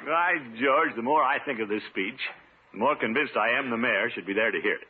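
An actor playing a pompous, self-satisfied middle-aged water commissioner in 1950s radio comedy style, theatrical and given to chuckling. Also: male voice, medium pitch, fairly quick, lightly acted. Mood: smug. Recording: noisy, narrow-band 1950s radio recording.

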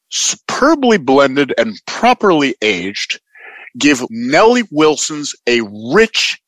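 A man's voice: fairly high pitch, a bit bright, no room sound, heavily acted. A man playing an earnest old-time radio announcer, reading a parody commercial in a salesmanlike manner. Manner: salesmanlike, deliberate.

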